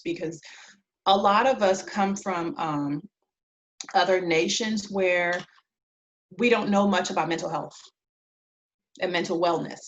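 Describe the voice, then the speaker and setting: A woman in her thirties from Liberia answers interview questions conversationally as a guest, reflective and halting, often pausing between phrases. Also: on the low side, slight background noise. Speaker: interview guest, clinical social worker.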